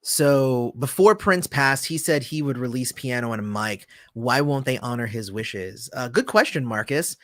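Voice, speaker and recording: A man's voice: medium pitch, moderate pace, few pauses, dry recording. Laid-back man chatting on a livestream. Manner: rambling, relaxed, frequent fillers, trails off softly. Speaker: channel host. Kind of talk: casual livestream chat reading viewer comments.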